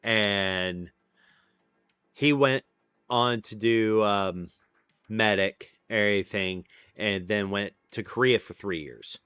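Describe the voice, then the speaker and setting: A man's voice: fairly low pitch, slow pace, a bit bright, clear, resonant tone, no echo, soft background music. A man in his late thirties hosting a casual stream, rambling with lots of fillers and restarts. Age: 30s. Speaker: livestream host. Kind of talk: casual chat with viewers.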